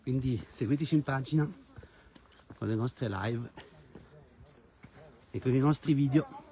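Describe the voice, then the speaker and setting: A man's voice: medium pitch, measured pace, dark tone. A man hosting a live travel stream outdoors, speaking directly to viewers like a presenter. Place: outdoors in a hilltop village. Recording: noisy outdoor recording with clicks.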